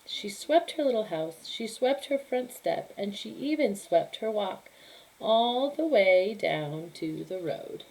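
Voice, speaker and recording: A woman reading a picture book aloud to children in an animated, emphatic storytelling manner. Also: mid-range voice, slow, low-quality recording.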